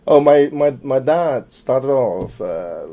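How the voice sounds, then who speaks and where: A middle-aged man from Malaysia in an oral history interview, hesitant with many fillers and restarts, trailing off quieter at phrase ends. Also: medium pitch, animated, slow.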